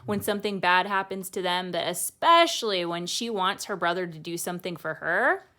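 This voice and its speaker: A woman's voice: fairly low pitch, lively intonation, moderate pace, pushed and bright. A woman reading a picture book aloud like a teacher, expressively, with casual asides explaining feelings to young listeners.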